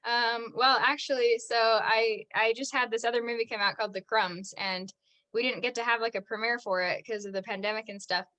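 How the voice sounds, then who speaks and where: A woman's voice: fairly high pitch, steady tone, fairly quick, pushed and bright. A young American actress chatting as a podcast guest, filling her talk with "like" and frequent laughs. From United States. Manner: chatty, says "like" constantly, laughs often. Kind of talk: casual interview chat.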